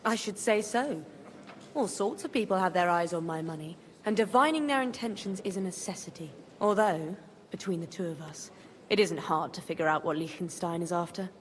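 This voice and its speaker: Actress as shrewd widowed noblewoman for a medieval game: lowish voice, composed, dry, wry, faint noise behind. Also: brisk, natural voice. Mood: wry, guarded. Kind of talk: video game dialogue acting.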